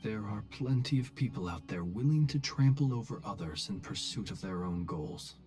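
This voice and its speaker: An actor voicing an unflappable, wry and courteous adventurer in a video game, with understated, formal phrasing. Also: male voice, fairly low pitch, lively intonation, normal speed, mellow, faint music behind, natural voice. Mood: grave, resolute. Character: unflappable, wry, courteous male lighthouse-dwelling adventurer with a curse.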